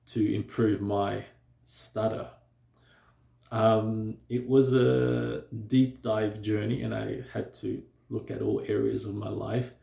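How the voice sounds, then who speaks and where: A man's voice: lowish voice, gravelly, warm tone, reverberant. A man who stutters, talking reflectively to his viewers in a hesitant way, with many fillers and restarts. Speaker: vlogger. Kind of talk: reflective personal talk to camera.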